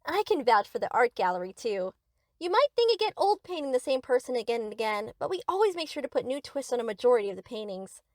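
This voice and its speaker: A woman's voice: fairly high pitch, very animated, quick pace, darkish tone, dry recording, clean studio sound. A woman playing a young yandere best friend in a scripted roleplay, doting on the listener with cheerful, possessive obsession. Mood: adoring.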